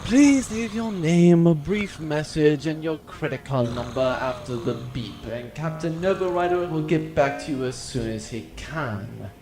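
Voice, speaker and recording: A man's voice: on the high side, expressive, measured pace, hardly any pauses, roomy sound, background noise. A man playing a polite, cheeky pirate ship answering service with formal receptionist patter in a comedy audio drama. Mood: polite, unhurried.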